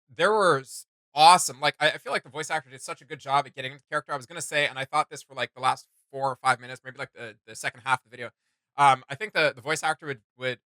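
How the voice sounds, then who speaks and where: A man's voice: medium pitch, fast talker, pushed and bright, no echo. A man chatting casually and enthusiastically as a reaction host, rambling and slangy. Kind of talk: casual enthusiastic fan chat.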